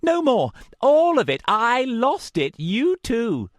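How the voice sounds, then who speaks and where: A man's voice: wildly swooping pitch, slow pace, no room sound, studio quality, heavily acted. A man voicing a crazed man who rants in short broken phrases and clutches a cursed charm. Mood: menacing. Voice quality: shrill, ranting in broken phrases.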